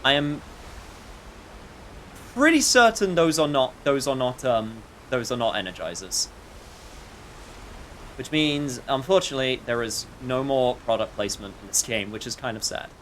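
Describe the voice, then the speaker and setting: A man's voice: mid-range voice, expressive, normal speed, long pauses, a bit bright, a little hiss or hum. A man livestreaming a video game with casual chat and wry, joking, sometimes sweary commentary.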